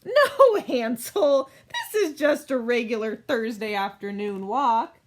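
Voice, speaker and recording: Woman as bossy, high-voiced little storybook girl. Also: lively intonation, takes it slowly. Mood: reassuring. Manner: assertive, matter-of-fact, argumentative. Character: confident, bossy little girl Gretel, stubbornly optimistic.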